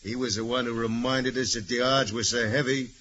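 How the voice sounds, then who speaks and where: An actor playing a sly, sardonic convict with a drawling, mocking manner in a 1940s radio drama. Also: male voice, mid-range voice, steady tone, brisk, sharp tone, close to an everyday voice. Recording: muffled, noisy 1940s radio recording.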